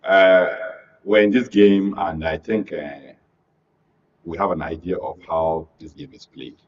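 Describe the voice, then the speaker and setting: A man with a Nigerian accent giving his view as a guest on a political panel, speaking in a hesitant, halting way. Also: deep voice, fairly even intonation. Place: radio and TV studio. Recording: distant mic, muffled, noisy background.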